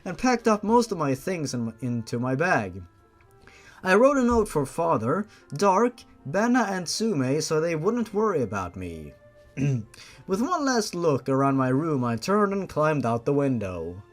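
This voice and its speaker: Lively, higher-pitched man reading mockingly. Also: unhurried, faint music behind. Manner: mocking, exasperated, swears casually. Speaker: host reading fan fiction aloud with commentary. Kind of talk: dramatic reading with sarcastic asides.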